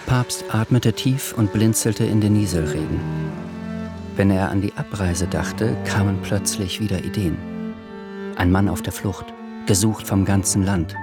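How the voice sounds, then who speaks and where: A man narrating a literary radio drama in a measured, sober way. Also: low-pitched, over loud music.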